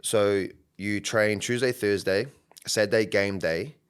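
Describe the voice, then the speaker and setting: Deep-voiced New man, easygoing. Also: measured pace, clear, resonant tone. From New Zealand. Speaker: podcast co-host, coach. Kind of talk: casual podcast chat.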